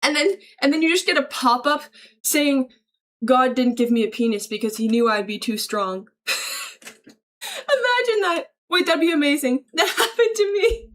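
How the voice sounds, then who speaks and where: Female streamer, higher-pitched, informal and slangy, chummily thanking and answering her chat. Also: animated, normal speed. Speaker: livestreamer greeting chat. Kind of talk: casual livestream chat, reading and answering viewer messages aloud.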